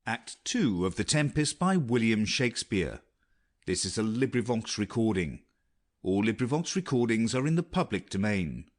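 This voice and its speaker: A man's voice: on the low side, big pitch swings, moderate pace. A man narrating scripted stage directions and announcements for an audiobook.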